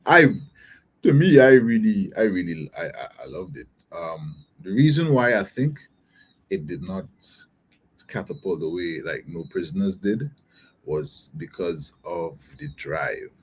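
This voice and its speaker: A man with a very deep, low voice and a husky edge. He talks in a laid-back, slangy way and often starts a sentence over before finishing it. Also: unhurried. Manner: relaxed, slangy, hesitant restarts. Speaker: soca artist, guest. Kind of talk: casual interview chat.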